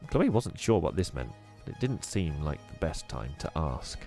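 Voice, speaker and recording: A man reading a children's book aloud in an expressive, animated way. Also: very deep voice, normal speed, gravelly, soft background music. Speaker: storyteller.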